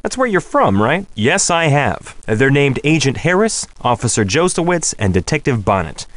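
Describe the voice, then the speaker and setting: An actor playing a vain, smooth-talking soap opera heartthrob in a video game, with a cocky, teasing, flirtatious manner. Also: male voice, medium pitch, no echo, natural voice. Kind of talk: video game character dialogue.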